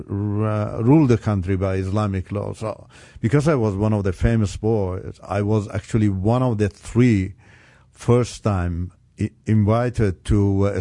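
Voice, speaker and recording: A middle-aged man from Iran, an English speaker, sharing his story as a radio guest in an earnest, halting way with many restarts. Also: lowish voice, mellow, no echo, studio quality. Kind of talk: personal testimony on radio. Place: radio studio.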